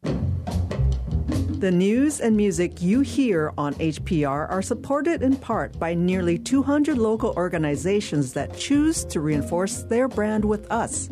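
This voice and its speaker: A woman's voice: mid-range voice, big pitch swings, slow, mellow. A woman reading a scripted sponsor announcement as a radio announcer. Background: music.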